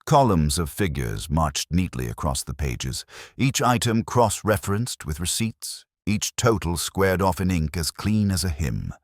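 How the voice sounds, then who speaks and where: A man reads a mystery story in an extremely low voice with a husky grain. His delivery is scripted, measured and dramatic, with lively rises and falls in pitch. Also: dry recording, clean studio sound. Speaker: narrator of a detective mystery. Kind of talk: scripted literary audiobook-style narration.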